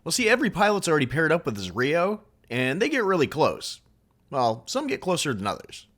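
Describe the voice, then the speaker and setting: A man's voice: fairly high pitch, wildly swooping pitch, fairly quick, music in the background. A man narrating a comedic film recap with wry, mocking asides.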